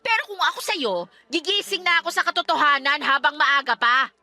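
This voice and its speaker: A woman playing a defiant, sharp-tongued estranged wife and mother in a radio drama, sarcastic and combative. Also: high voice, animated, a little fast, full character voice. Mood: irritated, dismissive. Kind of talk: Tagalog radio drama acting.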